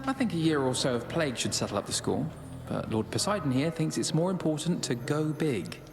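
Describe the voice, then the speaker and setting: An actor playing a self-assured, coolly vengeful young god in a video game, casually superior in manner. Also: male voice, fairly high pitch, expressive, a little fast, gravelly. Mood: coolly scheming. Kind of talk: scripted video game character acting. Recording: long reverb, loud music behind.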